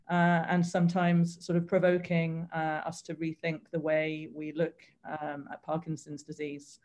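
A woman introducing a speaker as host of an online seminar, with a halting, measured delivery. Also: on the low side, mellow. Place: indoors, on a video call.

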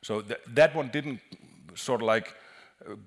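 Man presenting at a conference. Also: mid-range voice. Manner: halting, repeats words as he explains. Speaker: conference speaker, acoustic designer. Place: large conference hall on a stage.

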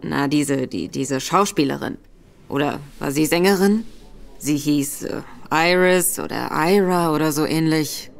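Woman disguised as a sly gentleman. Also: low voice, unhurried, vocal fry, pitched below the performer's own voice. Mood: probing, sly. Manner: affable, cunning, feigns forgetfulness. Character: smooth gentleman Virgil Barker, a man, sly and probing. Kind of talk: radio play acting.

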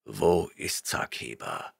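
A man's voice: expressive, slow pace, gravelly, husky, heavily acted. A man doing a growling barbarian warrior. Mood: gruff. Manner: growling, low, menacing. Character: brooding burly barbarian warrior, gruff and impatient.

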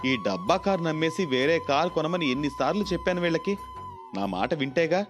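A sulky rich young man, acted by a male storyteller, full of whiny indignation. He grumbles like a pampered son in a moral storybook, with clear music playing behind him. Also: fairly high pitch, very animated, fairly quick. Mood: irritated complaining. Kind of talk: Telugu moral storybook acting.

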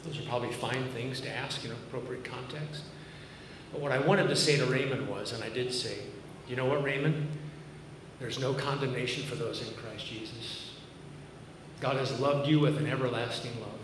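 A man in his fifties preaches with a Massachusetts accent, earnest and conversational, slipping in dry jokes at his own expense. He stands at a podium on the stage of a large church auditorium, picked up by a camera far back in the room. The sound rings through a roomy hall with a long tail of reverb and clear background noise. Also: mid-range voice, steady tone, moderate pace. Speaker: pastor. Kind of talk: sermon. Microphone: podium mic, filmed by a far camera.

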